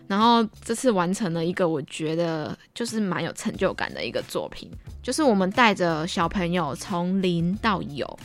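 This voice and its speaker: A woman's voice: lowish voice, big pitch swings, normal speed, few pauses. Young Taiwanese woman, chatty podcast host over music. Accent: Taiwanese Mandarin. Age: young adult. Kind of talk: casual chat. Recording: background music behind the voice.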